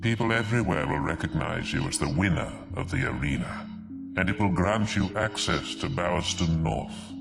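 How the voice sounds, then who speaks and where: Game villain: very low, gravelly, unhurried, mocking menace. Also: male voice. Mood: condescending. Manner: slow, deliberate, mocking. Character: sinister male villain, smooth, taunting menace. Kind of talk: video game cutscene acting. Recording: loud music under the voice.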